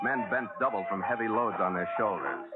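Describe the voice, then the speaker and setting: A man's voice: lowish voice, expressive, brisk, loud music behind the voice, natural voice. An actor playing a worldly sea captain, narrating his voyage in clipped, wry 1940s radio adventure style. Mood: measured storytelling. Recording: lo-fi vintage 1940s radio recording.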